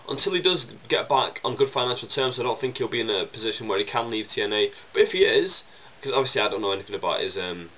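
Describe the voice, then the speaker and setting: A man's voice: mid-range voice, dull audio, slight background noise. A young man with a chesty cold, rambling casually as he answers viewer questions in a vlog. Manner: chatty and rambling, many fillers and restarts. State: chesty with a cold, coughing and sniffling.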